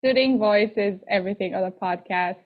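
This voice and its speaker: A woman's voice: medium pitch, a little fast. A woman with an Israeli accent, her first language Hebrew, hosting a podcast over a video call and speaking in an effusive way with hesitant restarts. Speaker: podcast host. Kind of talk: casual interview chat. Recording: dull lo-fi call audio.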